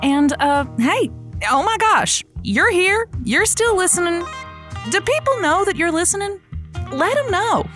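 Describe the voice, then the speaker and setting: A woman's voice: extremely high pitch, very animated, a little slow, a bit bright, soft background music, heavily acted. An actress playing an effusive, prickly woman who hosts a lonely hearts radio show, hesitant and sarcastic, in an audio comedy. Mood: chatty, winding down. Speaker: radio call-in host. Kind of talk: scripted audio comedy acting.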